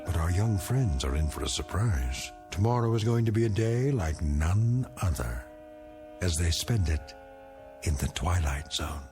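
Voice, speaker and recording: An actor playing a solemn, ominous narrator of eerie tales, measured, ironic and portentous in dramatic radio narration. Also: male voice, low-pitched, animated, music in the background. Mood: ominous, knowing.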